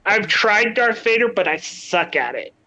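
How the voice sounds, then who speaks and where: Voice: medium pitch, animated, a little slow, sharp tone. A podcast co-host chatting casually from home on their own mic, hesitant with many ums and restarts. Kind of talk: casual chat. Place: home, with children in another room. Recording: dull lo-fi sound.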